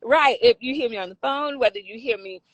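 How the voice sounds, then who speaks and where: A woman with a raspy voice hosting a casual talk discussion, often affirming her guest. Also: on the high side, brisk, crowd noise. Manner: affirming, frequent agreement sounds. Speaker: talk show host.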